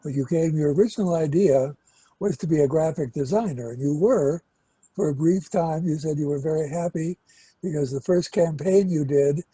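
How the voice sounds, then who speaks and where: An older man hosting a casual interview, hesitant and pausing mid-sentence as he asks questions. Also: a little high, warm tone, slightly rough, no room sound, rough recording. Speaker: interviewer and host, record producer.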